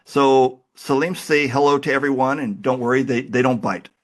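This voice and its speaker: A man hosting a podcast while he has a cold, talking in a relaxed, chatty and self-deprecating way. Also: medium pitch, normal speed. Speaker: podcast host. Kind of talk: casual interview chat.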